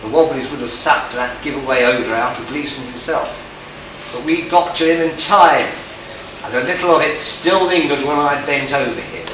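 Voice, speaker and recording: A smug, hard-boiled detective, played by a man in an amateur radio play, declaiming his lines. He sounds far from the mic in a very echoey hall, with clear noise around him. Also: mid-range voice, moderate pace, natural voice. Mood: accusing, confident. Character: hard-boiled, smug male space detective exposing a murderer. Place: live convention stage, indoors. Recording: distant, echoey, noisy hall recording.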